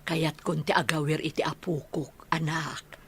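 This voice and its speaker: A woman with an Ilocano accent playing a doting village mother in a comedy radio drama, with a husky voice. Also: sits low, flat delivery, a little slow, fairly bright tone, heavily acted. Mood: fond, approving. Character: doting middle-aged or older village mother.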